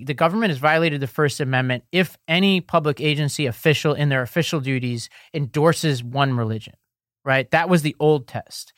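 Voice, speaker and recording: A man's voice: a little high, dry recording, clean studio sound. A man co-hosting a podcast, explaining court rulings in casual talk with many "like"s and restarts. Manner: explanatory, filler-heavy, restarts. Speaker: podcast co-host. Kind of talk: casual legal news discussion.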